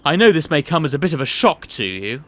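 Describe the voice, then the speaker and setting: A man playing a genial, pompous boss of a shady petting zoo in a comic audio drama, with theatrical, drawn-out phrasing. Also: on the high side, very animated, fairly bright tone. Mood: amused, patronising.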